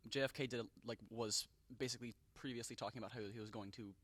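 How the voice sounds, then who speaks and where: A man's voice: mid-range voice, fairly even intonation, fast talker, fairly bright tone, no echo. A teenage boy guest in a casual podcast chat, speaking loosely with many fillers. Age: teens. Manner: casual, filler-heavy, laughs. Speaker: student guest.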